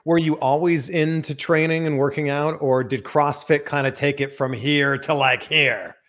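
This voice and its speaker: A man's voice: on the high side, fairly even intonation, moderate pace, fairly bright tone. A man in his thirties from Canada, hosting a casual interview from his home studio. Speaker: interview host. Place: small home studio indoors. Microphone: desk microphone.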